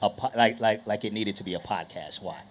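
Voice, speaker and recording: A man's voice: lowish voice, brisk, slight background noise. Panel moderator, male. Casual and playful, jokes with guests, leans on repetition. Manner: playful, joking, repeats phrases for emphasis. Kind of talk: live panel discussion, casual banter.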